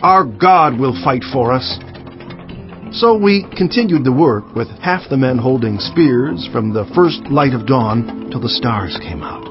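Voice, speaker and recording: An actor playing a resolute biblical governor, narrating scripture in a solemn, emphatic, declamatory manner. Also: male voice, medium pitch, animated, unhurried, highs cut off, over music, natural voice. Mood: rousing. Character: resolute, indignant biblical governor recounting his own deeds. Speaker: narrator of dramatized audio Bible.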